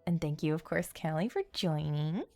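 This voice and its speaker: A woman's voice: low-pitched, normal speed, darkish tone. Playful woman streamer chatting over music. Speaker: game streamer and host. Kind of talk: casual livestream chat. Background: background music.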